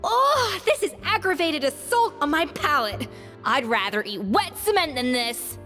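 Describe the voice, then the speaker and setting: A woman's voice: high-pitched, sing-song, unhurried. A woman playing a blunt, imperious young pastry chef in a game, with a theatrical no-nonsense manner. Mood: disdainful. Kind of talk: English game voice acting. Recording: long reverb over background music.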